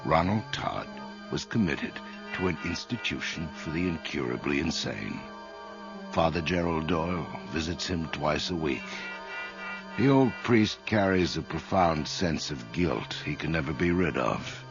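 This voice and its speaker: An actor as a grave, ominous storyteller, narrating a radio drama in a solemn, measured way. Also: male voice, extremely low pitch, lively intonation, heavily acted. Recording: dull radio recording, faint music.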